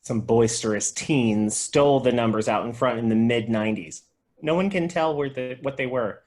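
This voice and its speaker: A man's voice: medium pitch, normal speed, darkish tone, lightly acted. A man playing an earnest, dutiful video store clerk and movie buff in a comedy script read.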